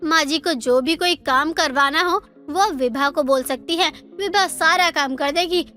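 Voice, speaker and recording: A woman's voice: sits high, a little slow, sharp tone. A woman voicing a lazy, insolent young daughter-in-law who argues back in a moral story cartoon. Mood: smug. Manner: defiant, argumentative. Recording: music under the voice.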